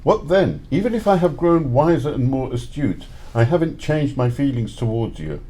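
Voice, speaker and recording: A man's voice: medium pitch, expressive, moderate pace, mellow, natural voice. A man acting as a miserly, fearful man in an amateur audio play, theatrical and imploring. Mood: defensive.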